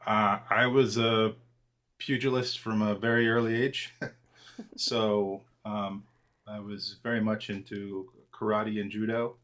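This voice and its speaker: A man in his forties speaks in a fairly low register as an interview guest. He talks casually and wryly about himself, pausing on fillers and restarting phrases. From Argentina. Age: 40s. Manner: relaxed, self-deprecating, frequent fillers and restarts.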